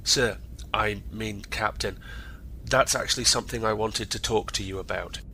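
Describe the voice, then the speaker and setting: Casting: male actor as a respectfully defiant space marine officer, creaky, slightly low voice, formal, with background hum. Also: normal speed. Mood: formal, hesitant. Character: disgruntled young male marine special-operations lieutenant. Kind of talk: scripted science fiction audio drama acting. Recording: steady background ambient noise.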